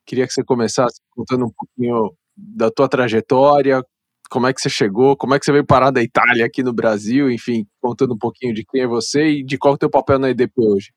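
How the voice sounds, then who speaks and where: A man's voice: mid-range voice, quick pace, no room sound. A man with a Brazilian accent hosting a podcast, introducing guests in a casual, chatty way. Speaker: podcast host. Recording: dry sound with light music bed.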